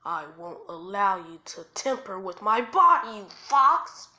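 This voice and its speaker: A woman's voice: fairly low pitch, lively intonation, moderate pace, a bit bright. A woman voicing a five-year-old ninja boy in an anime fan story, defiant, inquisitive and insistent. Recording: faint background noise.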